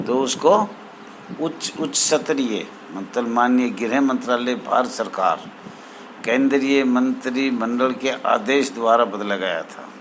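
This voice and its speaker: Male, mid-pitched, slow and halting association spokesman explaining procedure formally, with clear traffic-like noise behind him. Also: low-quality recording. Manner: halting, formal honorifics, repeats phrases. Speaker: home guard association spokesman. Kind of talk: informal explanatory address to association members.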